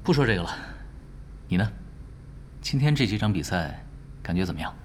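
A man playing a nonchalant, confident young pro gamer in a Chinese radio drama, teasing and quietly assured. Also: low-pitched, lively intonation, brisk, a little hiss or hum. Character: laid-back, confident young male pro gamer who ran away. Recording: music behind the voice.

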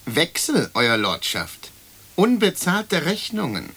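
An actor playing a dry, needling dead creditor in a radio play, polite but pointed. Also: male voice, on the high side, huge pitch swings, slow, sharp tone, husky, exaggerated voice. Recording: noisy old radio recording.

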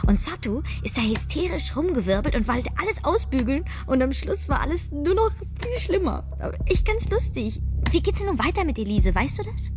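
Bubbly young sister, high voice, very animated, music under it. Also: female voice, moderate pace, hardly any pauses. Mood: breezy. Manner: lively, chatty, breezy. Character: young woman, the narrator's easygoing sister. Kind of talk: radio drama acting.

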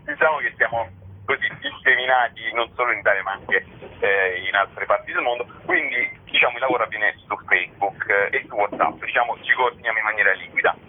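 A man's voice: fairly low pitch, brisk. A man being interviewed as a guest, explaining his work in a chatty way with many filler words. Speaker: interview guest, satirical writer. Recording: narrow tinny phone line on radio.

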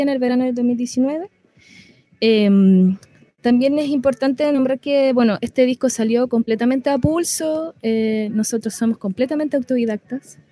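A woman's voice: a little high, warm tone. A woman with a Chilean accent speaking as a musician guest in a casual interview, informal and a little hesitant in her phrasing.

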